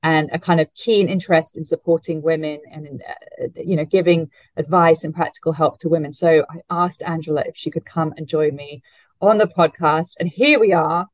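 A woman hosting an interview podcast, talking thoughtfully with fillers and slowing down to stress key words. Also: low-pitched, fairly even intonation, dry recording, low-quality recording. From Hong Kong. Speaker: podcast host. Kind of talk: conversational interview.

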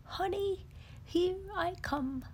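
A woman voicing a gentle, bumbling bear who loves honey, with a soft, plaintive manner. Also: very high-pitched, slow, a little hiss or hum, pitched above the performer's own voice. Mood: cheerful.

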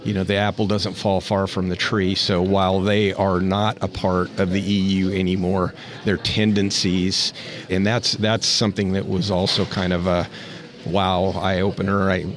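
Deep flat-voiced man talking ranching. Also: moderate pace. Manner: rambling, thoughtful, lots of restarts. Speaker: interview guest, rancher. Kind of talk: casual interview.

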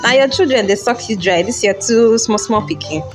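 A man's voice: sits high, moderate pace, music in the background, lightly acted. A man playing a jovial, boastful, generous Nigerian businessman in an audio drama, teasing and repeating his phrases. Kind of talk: audio drama acting in Pidgin.

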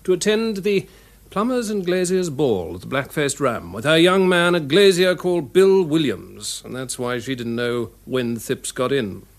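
A dryly amused English police inspector in a radio drama. His voice is slightly high and varied in pitch, sensible and explanatory. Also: expressive, normal speed.

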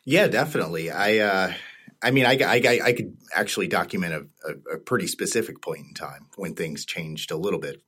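A man working as an interactive producer, a podcast guest who talks haltingly and repeats words. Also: low voice, a bit bright, breathy edge. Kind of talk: casual podcast conversation.

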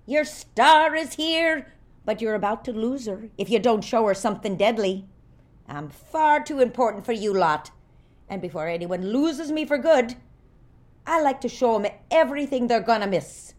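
Lady acting vain old irish singer diva, bossy n scornful, highish voice thats all over the place, audio comedy. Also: expressive, moderate pace, close to an everyday voice. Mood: grand, demanding. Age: older. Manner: haughty, theatrical, scornful. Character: vain, imperious old female singing star.

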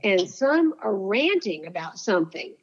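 A woman's voice: medium pitch, very animated, measured pace, husky, lo-fi sound. Earnest woman with a soothing voice. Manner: earnest, heartfelt storyteller. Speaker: interview guest. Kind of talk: casual interview storytelling.

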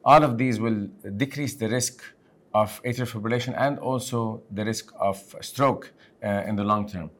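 A man from Lebanon hosting a conversational medical podcast as a physician, speaking in halting, emphatic bursts. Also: fairly low pitch, fairly even intonation. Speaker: podcast host, physician and section head.

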